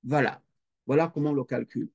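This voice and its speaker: A man's voice: medium pitch, steady tone, gravelly, darkish tone, slightly rough, dull audio. A middle-aged man, giving a recorded lecture and working through the calculations step by step, repeating each figure aloud. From Quebec. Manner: slow, methodical, repeats figures aloud as he writes.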